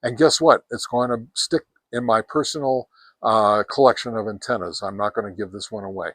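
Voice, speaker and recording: A man's voice: fairly low pitch, a little fast, fairly bright tone, no room sound. A man hosting a casual hands-on gear review outdoors, talking in a folksy way and repeating words for emphasis. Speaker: product reviewer and host. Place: outdoors on a deck.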